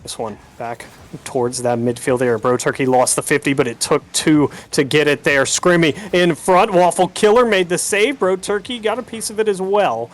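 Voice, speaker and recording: Male game commentator with a somewhat high voice for live esports coverage. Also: normal speed. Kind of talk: live Rocket League commentary.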